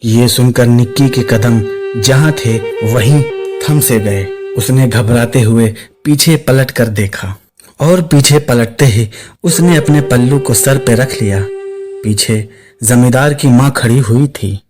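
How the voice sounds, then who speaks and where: A man's voice: mid-range voice, slow. A man narrating a scripted suspense story, with music playing behind his voice. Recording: clear music under the voice.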